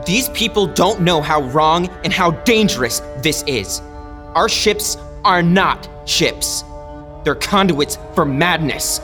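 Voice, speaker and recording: A man playing an anguished young man haunted by a dark power, halting and pleading, with flashes of anger, in an audio drama. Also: fairly high pitch.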